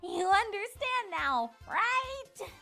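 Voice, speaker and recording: A woman's voice: very high-pitched, big pitch swings, extremely slow, bright, forceful tone, soft background music, heavily acted. A woman voicing a stubborn, clever young female pony in a cartoon fan fiction, insistent, indignant and sly. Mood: insistent, pleading.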